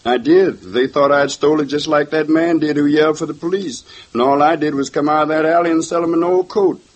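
A man's voice: fairly high pitch, steady tone, fairly quick, dull audio, lightly acted. An actor playing a nervous young male suspect in his twenties in a 1950s radio drama, earnest and defensive. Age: 20s.

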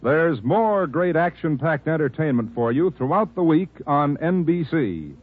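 A man's voice: fairly high pitch, expressive, a little slow. An American man announcing scripted credits in a formal, declamatory 1950s radio style. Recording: tinny old radio broadcast, music behind.

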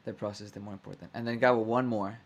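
A man hosting a podcast, chatting casually and warmly echoing his guest's words back. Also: fairly low pitch, fairly even intonation, racing. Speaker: podcast host. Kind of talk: casual interview chat.

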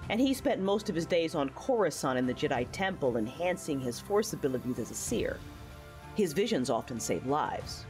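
A woman's voice: low-pitched, darkish tone. A woman playing a reflective, war-weary young female knight, narrating her memories earnestly in an audio drama. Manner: earnest, reminiscing.

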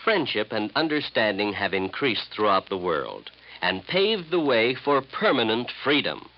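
A muffled old broadcast of a male announcer. His lowish voice rises and falls expressively as he delivers formal copy in an earnest, measured way. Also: sing-song. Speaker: announcer of a public service message. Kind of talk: scripted, formal radio announcement.

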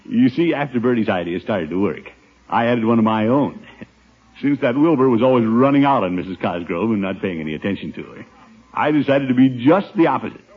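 A pompous middle-aged uncle in an old radio comedy. His voice swoops with flustered bluster and has a husky edge. The recording is muffled vintage radio. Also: mid-range voice, expressive, fairly quick. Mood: smug, boastful. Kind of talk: 1950s radio situation comedy acting.

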